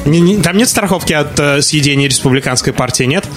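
A man's voice: on the high side, fairly quick, over music. A man co-hosting a humorous news chat, joking and retelling stories. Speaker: show co-host.

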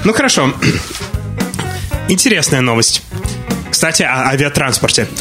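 A man's voice: medium pitch, very animated, a little slow, over music. A man co-hosting a humorous news chat, joking and retelling stories. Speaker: show co-host.

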